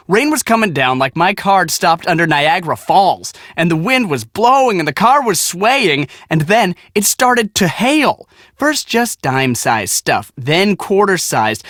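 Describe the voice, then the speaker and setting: An actor playing a cocky, excitable young American storm-chasing photographer in a video game, slangy, boastful and dramatic. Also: male voice, fairly high pitch, no echo, clean studio sound. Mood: dramatic storytelling. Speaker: video game character, storm team media guy. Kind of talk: animated casual game dialogue.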